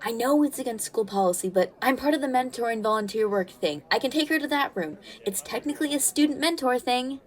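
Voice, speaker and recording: A woman playing a caring, protective teenage girl who comforts her best friend in an ASMR roleplay, soothing and gently bossy as she talks. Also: a little high, animated, a little fast, hardly any pauses, close to an everyday voice. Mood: worried, soothing. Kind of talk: ASMR comfort roleplay, one-sided conversation.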